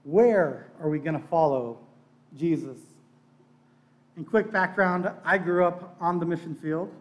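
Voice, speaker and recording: A man's voice: a little high, measured pace, recorded from a distance. A middle-aged man preaching in a conversational, earnest way, sharing personal testimony and repeating phrases for emphasis. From born in Bangladesh, childhood partly in Pakistan in a missionary family. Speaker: pastor giving a sermon. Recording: echoey room.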